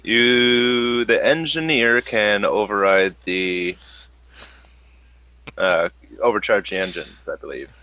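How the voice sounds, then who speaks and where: A man running a tabletop role-playing game as game master, improvising narration in a halting, thinking-aloud way. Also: lowish voice, bright, forceful tone, no room sound.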